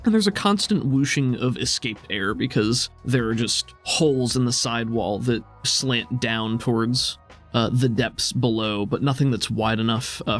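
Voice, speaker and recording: A man running a tabletop game, improvising descriptive narration in a conversational way. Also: medium pitch. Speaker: game master.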